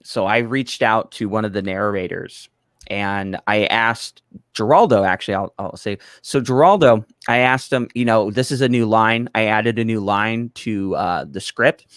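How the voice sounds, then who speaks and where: A man's voice: on the low side, normal speed, fairly bright tone, no room sound, studio quality. A man explaining things in casual chat, emphatic and rambling, often breaking off to restart his point. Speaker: project collaborator, guest.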